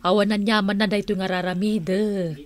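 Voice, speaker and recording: A woman's voice: medium pitch, flat delivery, normal speed, lightly acted. A woman playing a smitten, hot-tempered auntie in an Ilocano radio comedy drama, exclamatory and theatrical. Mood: composed.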